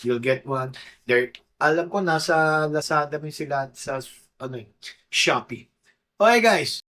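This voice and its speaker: A man's voice: fairly high pitch, unhurried, bright, forceful tone. A Filipino man giving a casual product review to camera, informal and often checking in with short tag questions. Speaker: motorcycle gear reviewer.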